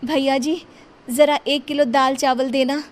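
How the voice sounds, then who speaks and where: A woman voicing a gentle, pitiful pregnant widow in a Hindi cartoon, speaking in a plaintive, pleading way. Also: high-pitched, steady tone, a little slow, a little hiss or hum, voice pushed up. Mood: polite.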